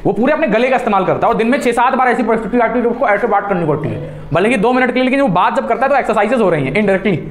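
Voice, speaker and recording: High-pitched Indian man coaching a class. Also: animated, rapid-fire. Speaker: voice and spoken English coach. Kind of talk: classroom instruction with demonstrations. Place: small indoor classroom. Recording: echoey room.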